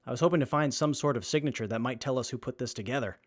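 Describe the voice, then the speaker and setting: A man plays a practical tinkerer in an audio drama. His voice sits at a middle pitch, recorded close and dry. He sounds inquisitive and everyday. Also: rapid-fire, no echo, close to an everyday voice. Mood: matter-of-fact. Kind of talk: post-apocalyptic audio drama acting.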